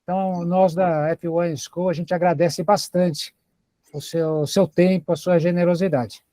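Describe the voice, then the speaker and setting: High-voiced host, mellow tone. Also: male voice, steady tone, a little slow, dry recording. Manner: warm, grateful. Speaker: program host. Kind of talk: introducing a guest speaker.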